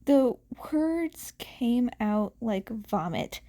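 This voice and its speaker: A woman with a very high voice narrates a story in an expressive audiobook style. She takes her time, reading at a slow pace. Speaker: podfic reader.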